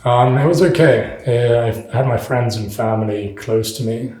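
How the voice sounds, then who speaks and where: A man's voice: medium pitch, normal speed, a little mellow. Easygoing young London man. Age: 20s. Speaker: interviewee. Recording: roomy echo, faint music.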